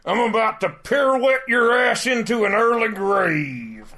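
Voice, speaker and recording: A man's voice: sits high, lively intonation, measured pace, bright, forceful tone, exaggerated voice. A man playing a cocky, musclebound army captain with macho swagger in a comic radio adventure. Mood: threatening. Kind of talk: parody radio adventure acting.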